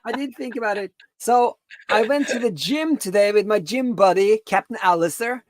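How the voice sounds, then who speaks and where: A woman co-hosting a casual livestream, telling rambling anecdotes in a halting, repetitive, teasing way. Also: medium pitch. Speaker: livestream co-host.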